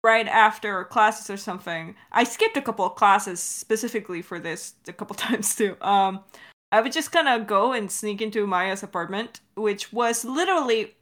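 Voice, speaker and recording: A young adult woman co-hosting a creator Q&A over an online call, chatting in an informal, joking, animated way. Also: mid-range voice, moderate pace. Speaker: podcast creator, co-host of a Q&A. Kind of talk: casual chat. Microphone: recorded over Discord call.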